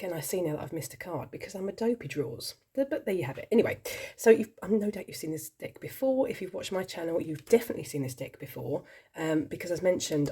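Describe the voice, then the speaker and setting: Woman vlogging about her decks, croaky husky voice, low and mellow, rambly with loads of ums. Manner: chatty, rambling, self-deprecating, many ums. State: croaky voice from a cold. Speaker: tarot vlogger. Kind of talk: casual chat to camera.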